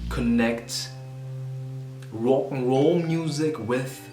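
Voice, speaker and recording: A man's voice: medium pitch, extremely slow. A man in his twenties, born in Vietnam, rambling casually to a camera about music, self-conscious and swearing now and then. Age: 20s. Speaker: vlogger and musician. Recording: roomy sound with music underneath.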